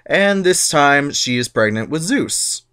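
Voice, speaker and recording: A man's voice: a little high, lively intonation, a little slow, fairly bright tone, clean tone. A man giving a comic dramatic reading of myths, sarcastic and irreverent. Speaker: narrator.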